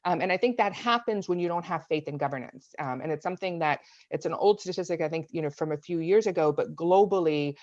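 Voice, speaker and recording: Low-pitched lady from south carolina on a video call, earnest and thoughtful, kinda dull flat sound. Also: quick pace, few pauses, dry recording. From United States (South Carolina). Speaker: guest. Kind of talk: political discussion.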